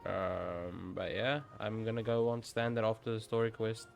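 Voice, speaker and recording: A man's voice: fairly low pitch, level tone, moderate pace, creaky, faint music behind. Young man casually commenting on a game. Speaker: game streamer. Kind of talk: casual live gameplay commentary.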